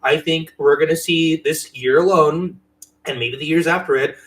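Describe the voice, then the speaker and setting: A man chats as a podcast co-host in a voice a little higher than most men's. He is earnest and emphatic, speaking like a devoted fan. The style is informal and conversational. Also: normal speed, fairly bright tone. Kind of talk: casual chat.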